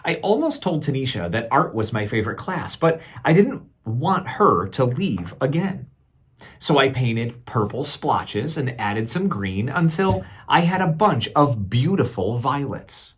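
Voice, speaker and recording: A man reading a picture book aloud like a teacher to children, expressive and deliberate, pausing to put questions to young listeners. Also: mid-range voice, breathy edge.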